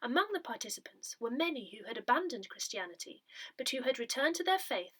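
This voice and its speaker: A woman reading a parish newsletter aloud in a soft, breathy, measured way. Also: fairly high pitch, sing-song. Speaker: newsletter reader.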